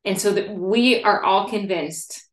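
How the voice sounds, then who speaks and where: A woman's voice: mid-range voice, a little slow. A woman hosting a podcast, welcoming and enthusiastic as she introduces guests sitting with her. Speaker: podcast host. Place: room shared with guests. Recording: echoey room.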